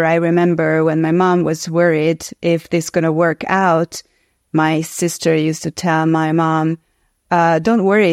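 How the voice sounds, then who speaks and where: A woman's voice: deep voice, a little mellow, no room sound, studio quality. A woman in her forties from Hungary, a native Hungarian speaker with a Hungarian accent, telling her story earnestly and reflectively in an interview. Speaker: guest actress.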